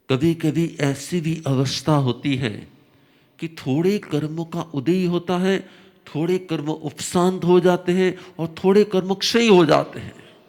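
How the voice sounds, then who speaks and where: A man teaching a religious discourse, his voice slightly hoarse, explaining slowly with repetition and emphatic rises. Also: fairly high pitch. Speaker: Jain spiritual teacher.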